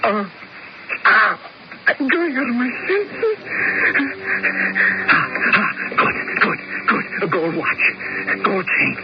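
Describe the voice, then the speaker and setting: An actor playing a half-mad thief gasping and whispering over stolen loot in a radio drama. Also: male voice, high voice, huge pitch swings, slow, long pauses, very noisy, exaggerated voice. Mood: frenzied. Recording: lo-fi radio broadcast over music.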